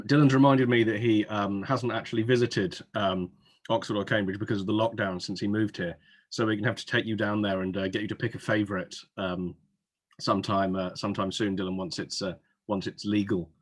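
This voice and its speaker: Man, fairly low pitch, webinar host, informal, chatty, wry asides. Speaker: webinar host and admissions adviser. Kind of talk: informal Q&A hosting, reading out audience questions. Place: online webinar.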